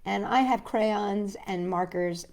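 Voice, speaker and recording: A woman hosting children's storytime, reading a picture book aloud expressively and deliberately with chatty asides. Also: medium pitch. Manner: expressive, deliberate, talking to young listeners.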